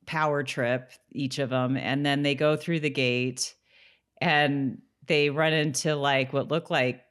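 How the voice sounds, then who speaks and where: A woman's voice: a bass voice, fairly even intonation, takes it slowly, smooth. A woman co-hosting a podcast, chatting casually and recapping an episode. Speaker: podcast co-host.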